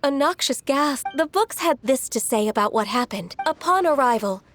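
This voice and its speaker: A polite, earnest young assistant in a fantasy game. Her high voice is soft-spoken and courteous, explaining things carefully over quiet music. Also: lively intonation, normal speed. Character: gentle, polite young female secretary, respectful and earnest. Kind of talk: scripted video game voice acting.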